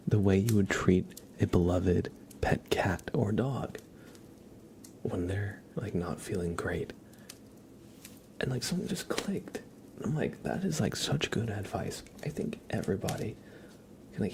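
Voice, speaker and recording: A man chatting casually on an ASMR livestream in a soft, often whispered voice, relaxed and rambling, close to the microphone. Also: deep voice, creaky. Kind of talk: ASMR, casual chat with viewers.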